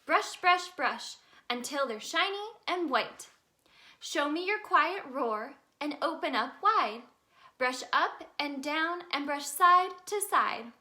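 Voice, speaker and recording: A woman's voice: high-pitched, animated, slow pace, sharp tone, full character voice. A woman voicing a curious, eager little boy tiger from a children's storybook in a childlike, wondering way. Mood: singing, eager.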